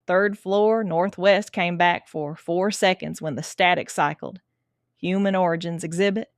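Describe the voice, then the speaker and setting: A woman acts as a tough lawwoman in a sci-fi audio drama. Her voice sits fairly low and very dry, and she talks bluntly, with a wry, questioning edge. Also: fairly even intonation, a little slow, no room sound. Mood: intent, wry. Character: tough, blunt female Texas Ranger with hidden vulnerability.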